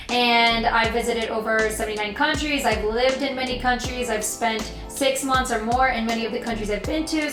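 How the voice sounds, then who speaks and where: A woman's voice: a little high, recorded from a distance. A young American woman vlogging about travel, talking earnestly and rambling a little, repeating her points as she goes. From United States. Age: young adult. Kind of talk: casual talk to camera. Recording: roomy echo, voice off-mic, music behind.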